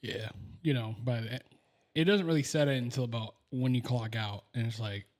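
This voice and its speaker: A young man with a mid-range voice chats on a casual podcast. He keeps an easygoing, relaxed tone and fills pauses with "um" and "like.". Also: medium pitch. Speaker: podcast co-host. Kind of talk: casual chat.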